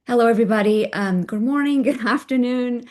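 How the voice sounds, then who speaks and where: A woman giving an academic talk over a video call, her voice raspy from a cold, speaking with some fillers. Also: fairly high pitch, fairly quick, darkish tone. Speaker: guest speaker. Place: home at night, joining remotely.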